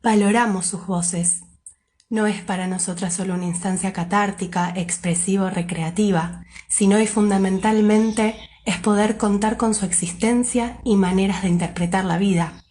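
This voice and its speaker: A woman, a member of a civic association, reading a prepared statement with measured, formal phrasing. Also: mid-range voice, dark tone.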